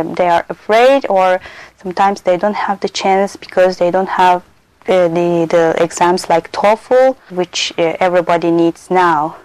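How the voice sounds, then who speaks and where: A young woman from Turkey, a native Turkish speaker with a Turkish accent, talking unscripted about herself as a doctor and doctoral student for a listening exercise, pausing often and filling gaps with "uh". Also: lowish voice, darkish tone, no room sound, poor audio. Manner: hesitant, frequent pauses and "uh" fillers.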